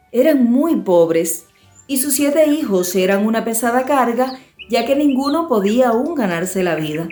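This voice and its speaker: Woman reading a kids fairy tale, mid pitch, measured and expressive, quiet music behind. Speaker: audiobook storyteller. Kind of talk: scripted dramatized fairy-tale narration.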